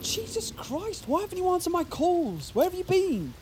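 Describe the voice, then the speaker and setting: An actress playing a worried, exasperated friend in an audio drama, insistent and wry. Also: female voice, very high voice, sing-song, moderate pace, noisy background. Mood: frantic, exasperated.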